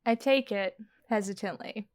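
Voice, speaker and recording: A woman's voice: medium pitch, moderate pace. A woman playing a tabletop roleplaying game, speaking casually as she acts out her turn.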